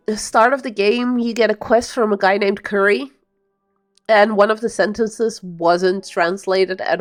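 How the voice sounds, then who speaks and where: A woman from the part of Belgium, chatting casually as a game streamer and rambling through explanations. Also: mid-range voice. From Belgium, Flemish-speaking part. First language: Dutch (Flemish). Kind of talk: casual live-stream commentary.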